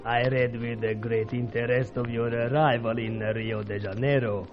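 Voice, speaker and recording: A man acting as a courtly South American cabaret owner with a Latin American accent. He speaks formally in a mid-pitched voice, with guarded, insinuating pauses, over music in a radio serial. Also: steady tone, lo-fi sound, close to an everyday voice. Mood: smooth, insinuating.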